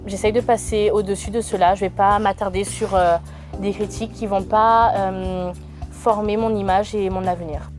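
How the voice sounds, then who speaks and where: A young woman in her twenties from France, answering interview questions with many pauses and restarts. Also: medium pitch, mellow. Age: 20s. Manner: hesitant, many fillers and restarts.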